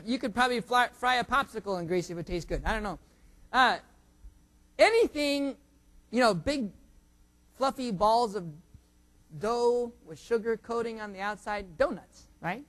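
A younger man preaching a sermon and leading a prayer, joking about food with sudden loud bursts of emphasis. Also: high-pitched. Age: under 45. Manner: jokey, self-teasing, surging loud emphasis.

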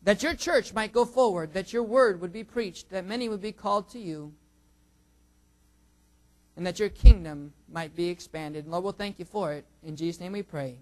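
A younger man preaching a sermon and leading a prayer, joking about food with sudden loud bursts of emphasis. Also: sits high, moderate pace, poor audio. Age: under 45. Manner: jokey, self-teasing, surging loud emphasis.

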